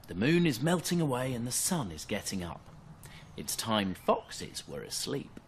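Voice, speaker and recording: A man voicing a gentle, tender mother fox coaxing her cub in a bedtime story. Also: medium pitch, big pitch swings, measured pace, slight background noise.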